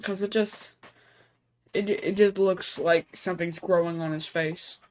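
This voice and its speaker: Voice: medium pitch, fairly even intonation, a little slow, a little mellow, poor audio. A reaction video host reading on-screen text aloud and breaking into casual, speculative asides. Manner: casual asides between read-out passages.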